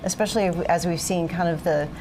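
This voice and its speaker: Low-voiced woman reporter interviewing live. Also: fairly quick. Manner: informed, poses detailed questions. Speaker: news correspondent. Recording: noisy outdoor broadcast.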